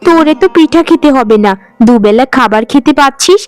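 A woman's voice: sits high, expressive, brisk, faint music behind. A woman playing a poor maid's young daughter in a cartoon, girlish and earnest in manner. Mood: scolding. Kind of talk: animated Bengali folk-tale cartoon acting.

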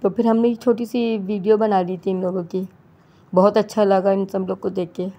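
A woman's voice: medium pitch, little pitch movement, normal speed, loud music behind the voice. A woman from Pakistan with a Pakistani Urdu accent, talking casually over her vlog footage in a chatty, rambling way with many restarts. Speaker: vlogger.

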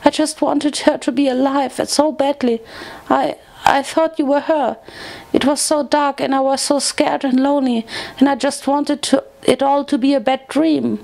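A woman's voice: sits high, steady tone, normal speed, gravelly, darkish tone, voice pushed up. A woman voicing a timid, guilt-ridden young female pony in a story, stammering and pleading tearfully. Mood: tearful, guilty. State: crying.

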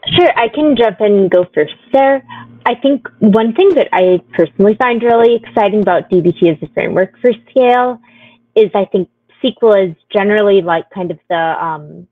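A woman's voice: mid-range voice, moderate pace, dry recording, phone-quality sound. A woman answering a panel question on a video call, speaking casually with many "like" and "kind of" fillers.

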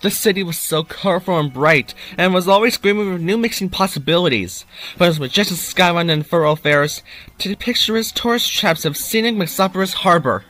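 A man voicing a nostalgic, excitable young cartoon creature who narrates his town in a theatrical way for a fan dub. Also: sits high, fairly quick, low-quality recording. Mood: nostalgic, proud.

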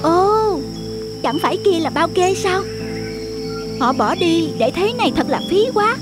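A greedy, headstrong wild rooster from a children's cartoon. The voice is high and animated, sure of itself, with music playing behind it. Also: female voice, a little slow. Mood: scheming, eager.

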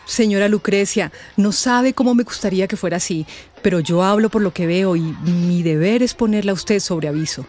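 A woman's voice: mid-range voice, moderate pace, darkish tone, a little hiss or hum, natural voice. A woman with a Colombian accent playing a concerned, tactful veteran schoolteacher in a radio drama, speaking carefully and earnestly. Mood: earnest, cautioning.

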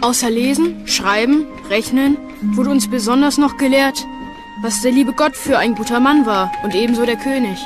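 A woman voicing a plain, earnest weaver's child in a measured recitation for a historical audio documentary. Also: on the high side, loud music behind the voice, lightly acted. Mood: plain, recollecting.

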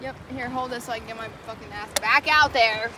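A young woman chatting casually on a trip, excited and blunt, swearing freely, outdoors on the water. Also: on the high side, brisk. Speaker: vlogger's partner. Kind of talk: casual chat. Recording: noisy outdoor camera audio.

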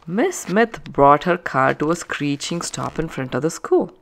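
A woman narrating a children's story aloud, with expressive, emphatic phrasing for young listeners. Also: low voice, unhurried.

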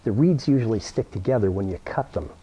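A man's voice: on the low side, animated, fast, dark tone, breathy edge, muffled recording. A man, giving a plain-spoken, encouraging how-to demonstration to camera as an instructor. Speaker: science toy-making instructor. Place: indoor workroom with cabinets.

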